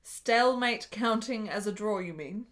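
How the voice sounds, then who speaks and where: An actress playing an intense, imperious, sharp-witted woman in a period radio play. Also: mid-range voice, unhurried, close to an everyday voice. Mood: wry.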